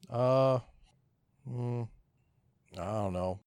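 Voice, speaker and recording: A man's voice: mid-range voice, extremely slow, darkish tone, clear, resonant tone, dry recording. A man co-hosting a podcast, chatting casually in a laid-back manner. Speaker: podcast co-host.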